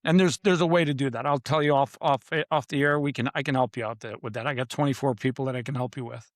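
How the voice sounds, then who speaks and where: A man's voice: mid-range voice, steady tone, fast, dry recording. A man who is a podcast guest and author, talking in a confident, emphatic way. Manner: emphatic, confident, repeats "literally". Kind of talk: casual podcast interview.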